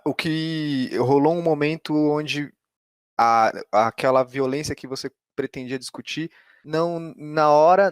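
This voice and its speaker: A man's voice: fairly high pitch, clean tone, dry recording. Brazilian male interviewer, dry close sound. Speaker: podcast interviewer. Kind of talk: conversational interviewing.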